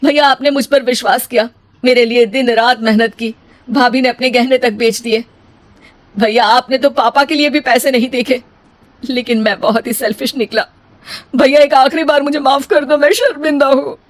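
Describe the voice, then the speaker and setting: A woman's voice: high voice, steady tone, normal speed, close to an everyday voice. A woman voicing a distressed, pleading younger brother in a melodramatic cartoon story. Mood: remorseful.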